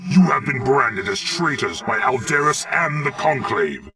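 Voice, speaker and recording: An actor playing a valiant alien warrior housed in a robotic shell in a video game, raspy and theatrical with formal speech. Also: male voice, medium pitch, big pitch swings, measured pace, recorded from a distance, crowd noise. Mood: defiant, urgent. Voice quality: raspy, gravelly. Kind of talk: dramatic video game voice acting.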